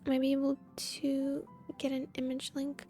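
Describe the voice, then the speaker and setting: A woman's voice: sits high, monotone, unhurried, mellow, faint music behind. A woman chatting casually as she live streams a game, giggly and meandering.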